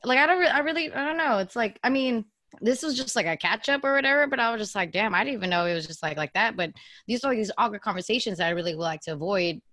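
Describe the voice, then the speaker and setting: A woman's voice: mid-range voice, animated, fast talker, pushed and bright, no echo. A woman in her twenties chatting and telling stories casually, blunt and slangy, swearing freely. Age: 20s. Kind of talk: casual chat, storytelling.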